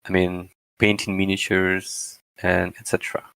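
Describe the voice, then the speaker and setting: A man's voice: low-pitched, monotone, moderate pace, creaky, a bit bright, smooth, dry recording. A man in his twenties from Russia, speaking English as an interview guest, hesitant and searching for words. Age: 20s. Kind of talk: casual interview answers.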